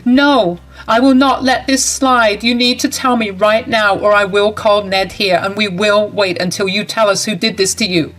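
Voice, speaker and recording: A woman's voice: medium pitch, steady tone, moderate pace, hardly any pauses, a bit bright, soft background music, natural voice. A woman voicing a caring young bride who speaks up firmly and indignantly to protect someone.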